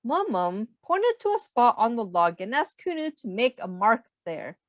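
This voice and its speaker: A woman's voice: fairly high pitch, big pitch swings, normal speed, dry recording, muffled recording. A woman reading a picture book aloud to young children, patiently explaining and asking simple questions like a teacher.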